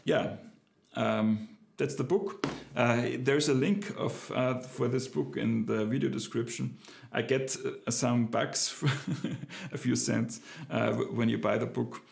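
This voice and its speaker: Hesitant guy, bit of vocal fry, middle pitch, chatting to camera at his desk. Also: fairly even intonation, slow. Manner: hesitant, many fillers and restarts. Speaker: business owner reviewing a book. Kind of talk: casual unscripted talk to camera. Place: home office with bookshelves, indoors.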